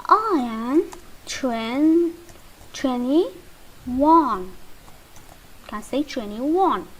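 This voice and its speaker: Woman teacher, high bouncy voice, very slow drills, faint background hiss. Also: rough recording. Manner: slow, drawn-out drilling with repetition. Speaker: English teacher. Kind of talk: slow beginner English lesson.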